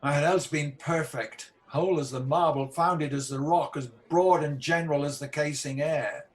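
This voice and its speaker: A man's voice: fairly high pitch, fairly even intonation, moderate pace, natural voice. An amateur actor playing a guilt-haunted tyrant king with a hospitable surface in a Shakespearean radio play. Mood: anxious, brooding.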